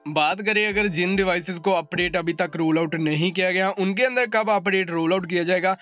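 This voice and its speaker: A man's voice: high voice, brisk, a bit bright. A man delivers a tech update voice-over. He talks informally to his viewers as a channel host, dropping in English terms, over faint background music.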